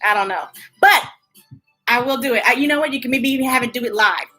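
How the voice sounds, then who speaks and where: A woman's voice: on the high side, fast talker, bright, forceful tone, soft background music. An American woman chatting casually and playfully to young viewers as a children's story time host, stretching words for emphasis.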